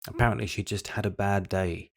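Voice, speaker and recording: Regretful British husband, lowish voice. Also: male voice, steady tone, fairly quick, gravelly. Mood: regretful, reflective. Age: middle-aged. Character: regretful middle-aged husband, dutiful, guilty, unfaithful. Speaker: actor in an audio drama. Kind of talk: confessional audio drama monologue.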